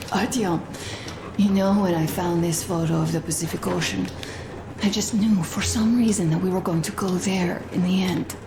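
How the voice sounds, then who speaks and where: A woman playing a gravely ill young wife in a video game, soft, halting and tender. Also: lowish voice, background noise, lightly acted. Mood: wistful, hopeful. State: weak, sick.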